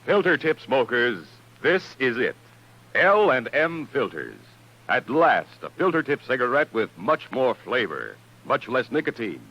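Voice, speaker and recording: Male commercial announcer, American, animated intonation, old radio ad style, slightly muffled sound. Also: mid-range voice, unhurried, breathy edge. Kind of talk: 1950s radio advertisement read. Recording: muffled vintage radio recording.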